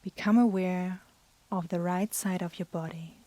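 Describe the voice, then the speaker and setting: A woman guiding a yoga nidra meditation in a soft, breathy, soothing manner with long pauses. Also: fairly low pitch, fairly even intonation. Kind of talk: guided meditation.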